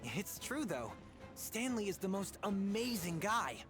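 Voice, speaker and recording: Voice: mid-range voice, sing-song, unhurried. An eager, starry-eyed young boy. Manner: earnest and wide-eyed. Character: eager young boy, admiring would-be adventurer. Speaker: video game character. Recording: studio game dub with clear music behind.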